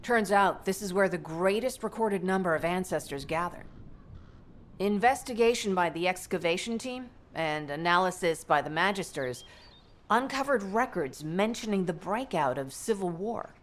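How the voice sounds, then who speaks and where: An actress playing a composed, scholarly female doctor and mother in a video game, speaking in a measured, articulate, matter-of-fact way. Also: on the low side, animated, slight background noise, close to an everyday voice. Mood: composed, analytical. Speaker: medical doctor character. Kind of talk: scripted game dialogue, explanatory briefing.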